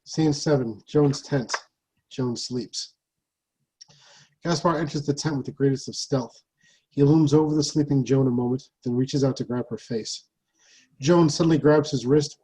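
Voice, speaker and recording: A man with a slightly husky voice narrating stage directions from a play script. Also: fairly high pitch, warm tone, low-quality recording.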